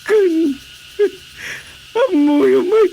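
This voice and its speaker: A man's voice: very high voice, drawn-out words, gravelly, mellow, lo-fi sound, a little hiss or hum, heavily acted. A man acting a burdened, poor village husband and father who pleads plaintively in a radio drama comedy. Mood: tearful, aggrieved.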